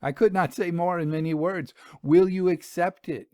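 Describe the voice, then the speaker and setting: A man playing a lovestruck gentleman suitor in a story, speaking formally with solemn fervour. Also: high-pitched, fairly even intonation, normal speed, no echo, studio quality, pitched above the performer's own voice, lightly acted. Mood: bold, ardent. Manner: solemn, ornate, fervent.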